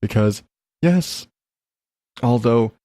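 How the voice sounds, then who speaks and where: A man's voice: medium pitch, lively intonation, very slow, mellow, no room sound. A man hosting a solo podcast, chatting in a rambling, frank, sweary way, slightly congested as he gets over an illness. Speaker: podcast host. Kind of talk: casual solo chat, rambling personal update.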